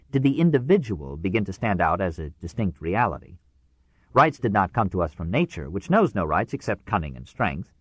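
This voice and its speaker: A man narrating a nonfiction audiobook in a measured, formal way with precise phrasing. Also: fairly low pitch, no echo.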